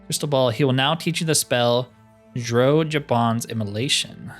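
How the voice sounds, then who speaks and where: A man's voice: medium pitch, normal speed, faint music behind, lightly acted. A man reading the part of a courteous, scholarly cat-man spell trainer, speaking formally in game dialogue. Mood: pleased, eager. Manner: formal, speaks of himself in third person.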